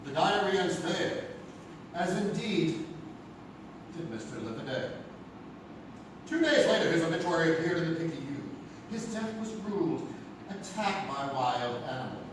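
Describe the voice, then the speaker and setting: A man playing an archivist lecturer, narrating a dark legend theatrically to an audience. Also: fairly high pitch, very animated, a little slow, pauses often. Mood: storytelling. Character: male archivist lecturer presenting werewolf legend evidence. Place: live stage in a theatre. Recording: echoey noisy theatre, far from mic.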